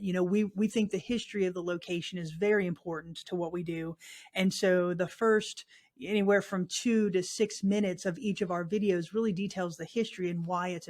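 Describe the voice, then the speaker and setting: A woman's voice: lowish voice, a little mellow. A woman who investigates the paranormal, earnestly explaining her approach as an interview guest. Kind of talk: interview answers.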